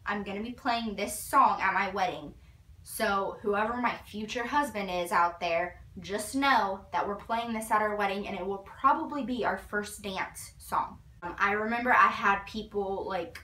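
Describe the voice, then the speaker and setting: A woman's voice: medium pitch, sharp tone. A teenage girl gushing through a casual album review in her bedroom, full of "like", filmed by a camera a few feet away. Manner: gushing, filler-heavy with frequent "like". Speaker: vlogger. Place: bedroom, indoors. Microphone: fixed camera a few feet away. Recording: roomy, slightly distant.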